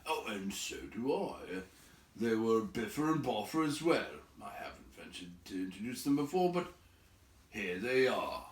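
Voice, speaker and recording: A man's voice: lowish voice, big pitch swings, measured pace. A man voicing a tactful, crafty wizard who tells his tale with mild, hesitant politeness in a storybook reading. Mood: sly, agreeable. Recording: roomy echo, distant from mic.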